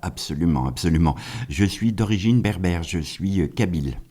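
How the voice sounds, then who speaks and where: A man's voice: low voice, animated, moderate pace, mellow. A middle-aged man from France talking casually and reflectively as a singer-songwriter guest in a radio interview, often in short phrases.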